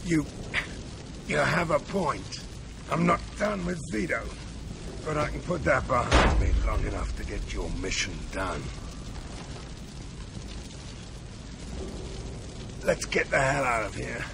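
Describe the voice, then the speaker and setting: Gravelly, bitter older mercenary, acted by a man. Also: mid-range voice, normal speed, noisy background, lightly acted. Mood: grudging. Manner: gruff, profane. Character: bitter, injured, vengeful older male mercenary. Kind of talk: video game cutscene acting.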